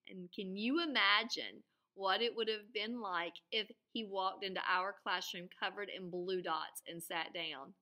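Bright-voiced woman teacher reading aloud. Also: medium pitch, measured pace, hardly any pauses. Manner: expressive, asks the listeners questions. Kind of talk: children's read-aloud with explanations and questions. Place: small classroom or school room, indoors.

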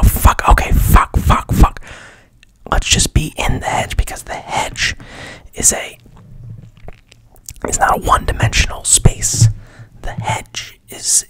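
A man recapping a film in a soft whisper, rambling, swearing casually and pausing with fillers. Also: dry recording, poor audio. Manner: rambling, profane, hesitant.

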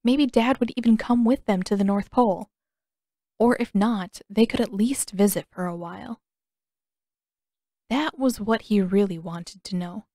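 A woman's voice: medium pitch, measured pace, warm tone, no echo, clean studio sound. A woman reading a fan fiction story aloud as scripted audiobook narration. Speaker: podfic narrator and author.

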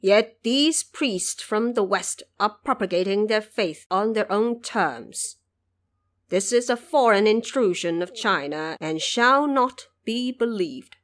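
A woman's voice: mid-range voice, expressive, slow pace. A woman voicing a stern male court historian in an audio drama, formal and declamatory. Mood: stern argument.

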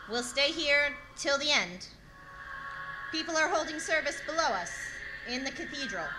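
A woman's voice: high-pitched, background noise. A woman playing a grave, urgent radio announcer reporting an invasion in clipped, dramatic 1930s radio drama style. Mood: grave urgency.

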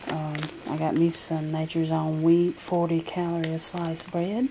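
A woman's voice: sits low, flat delivery, measured pace, mellow, poor audio. A woman listing groceries from her table in a casual home video, with plenty of ums as she goes item by item. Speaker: vlogger showing a grocery haul. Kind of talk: casual haul video narration. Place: home kitchen table, indoors. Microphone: handheld camera filming a tabletop. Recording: home camera audio with clear noise.

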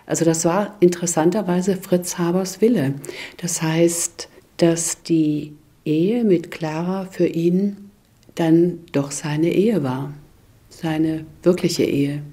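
A woman expert speaking in an interview in a deliberate, reflective way. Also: fairly low pitch, fairly even intonation, mellow.

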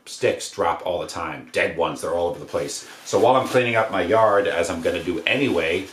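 American male DIY presenter, low-pitched, casual and rambling, recorded in an echoey living room. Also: fairly quick, a bit bright. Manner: offhand, slangy, rambling. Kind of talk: casual hands-on how-to demonstration. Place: indoors, living room by wood stove. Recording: roomy echo, noise of handled objects.

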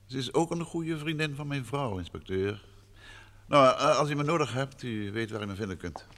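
An actor playing a courteous, composed gentleman detective in an old radio drama, measured in manner, on a noisy old recording. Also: mid-range voice, lively intonation. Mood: composed, polite. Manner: soothing, measured, probing.

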